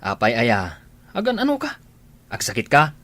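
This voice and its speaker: A man's voice: mid-range voice, wildly swooping pitch, moderate pace, bright, forceful tone, heavily acted. A man playing a frank, concerned young construction workmate in a radio drama, advising in a matter-of-fact way.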